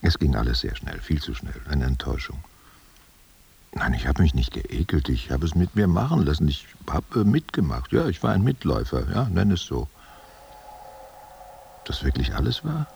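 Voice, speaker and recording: An actor playing an old war veteran in a radio play, giving halting, evasive confessions in a gravelly, husky voice. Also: male voice, a bass voice, very animated, darkish tone, heavily acted. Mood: halting, confessional. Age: older. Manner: halting, defensive answers to unheard questions. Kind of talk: literary radio play monologue.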